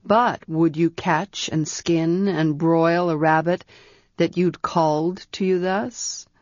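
A woman's voice: on the low side, fairly even intonation, slow pace, darkish tone, no echo. A woman reading an audiobook, voicing an earnest young former priestess who asks breathless, wondering questions. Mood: timid, curious. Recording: dry, slightly dull audiobook recording.

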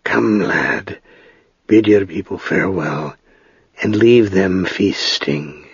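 A man voicing a quiet, wise middle-aged wizard in a storybook, speaking slowly and gently with halting certainty. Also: fairly low pitch, big pitch swings, creaky, breathy edge, dry recording, highs cut off, heavily acted. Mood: quiet, kindly.

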